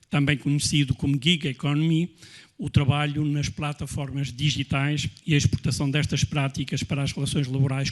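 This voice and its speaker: A man, moderating a conference session and reading out a formal introduction of the speaker. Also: medium pitch.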